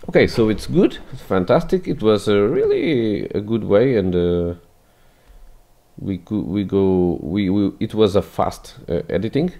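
A man whose first language is Portuguese walks through a photo edit in a screen-recorded tutorial, halting and restarting his phrases as he goes. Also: deep voice, vocal fry, mellow. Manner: hesitant, many restarts, non-native phrasing.

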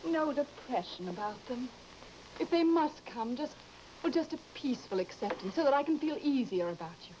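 High-voiced suspicious wife, muffled recording. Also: female voice, animated, moderate pace, darkish tone. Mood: anxious, probing. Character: anxious, suspicious wife, accusing and insistent. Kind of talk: old-time broadcast drama acting. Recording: muffled, noisy old broadcast recording.

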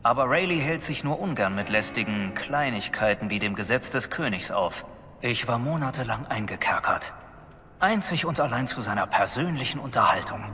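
An earnest ex-soldier, echoing hall. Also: male voice, mid-range voice, expressive, normal speed, sharp tone. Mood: sincere, grave. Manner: formal, sincere, restrained. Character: earnest male ex-soldier and poacher, humbled, resolute. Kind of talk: dramatic video game voice acting. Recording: long reverb, music behind.

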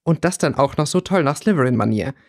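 A man's voice: on the high side, expressive, fast, a little mellow, dry recording, clean studio sound. A man voicing a caring, decisive young schoolgirl with a firm manner, in an audiobook. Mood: musing. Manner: firm, bossy but caring.

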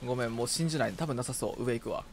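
A man's voice: mid-range voice, very fast, soft background music. A man chatting casually while playing a co-op game, playfully repeating short phrases. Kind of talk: casual gaming chat.

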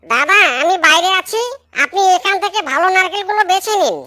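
High squeaky cartoon tradesman. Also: animated, a little fast, sharp tone, exaggerated voice. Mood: businesslike. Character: excitable, easily outraged male cartoon villager and tradesman. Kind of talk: children's cartoon voice acting.

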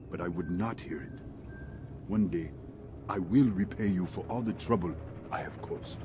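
A man's voice: low voice, moderate pace, noisy background, lightly acted. A man playing a fierce, proud alien warrior in a video game, speaking in solemn, formal phrases. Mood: grudging, solemn. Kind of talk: dramatic video game acting. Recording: music behind.

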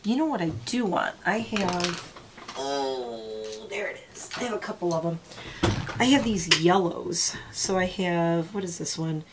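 A woman's voice: lowish voice, animated, measured pace, noisy background. A woman in her forties, sniffly, chatting casually to her viewers while doing her makeup at home. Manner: chatty, rambling, talks while applying makeup. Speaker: makeup vlogger. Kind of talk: casual get-ready-with-me chat. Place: small home room with gaming chair.

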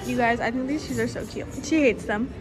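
A woman's voice: a little high, brisk, over loud music. Gushing young woman vlogging a mall. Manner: gushing, addresses viewers as y'all and you guys. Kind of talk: casual vlog chat. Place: walking through a shopping mall. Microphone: handheld phone camera.

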